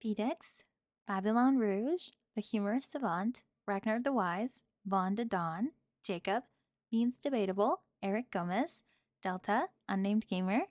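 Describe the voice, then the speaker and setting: A woman speaking as the host of an ASMR channel, reading a scripted sign-off and a list of shout-outs. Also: mid-range voice, measured pace, no room sound, studio quality.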